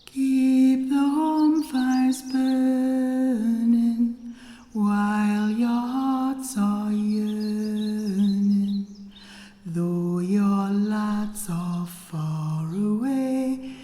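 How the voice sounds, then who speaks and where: A woman singing a sentimental wartime song at an unhurried pace. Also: mid-range voice, fairly even intonation, dark tone, faint music behind. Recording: echoey hall with long reverb.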